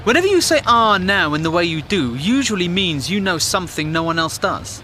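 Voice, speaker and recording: An outspoken English war reporter of the 1910s in a radio play. He is cynical, wry and plain-spoken, pitched high for a man, with obvious car noise in the background. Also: big pitch swings, a little fast, sharp tone, exaggerated voice. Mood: indignant. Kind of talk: audio drama acting. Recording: noisy moving-car ambience behind.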